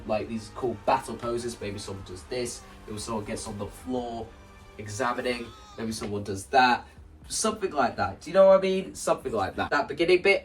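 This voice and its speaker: A man explaining his staging ideas to camera in a casual, animated way, like a video creator walking viewers through a plan. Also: mid-range voice. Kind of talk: casual spoken walkthrough to camera. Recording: voice distant from the mic, music underneath.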